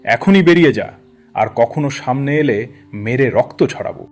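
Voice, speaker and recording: A man's voice: medium pitch, lively intonation, measured pace, a little mellow, close to an everyday voice. A man voicing an arrogant, short-tempered village landlord in a cartoon fairy tale, mocking and scolding as he talks. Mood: furious.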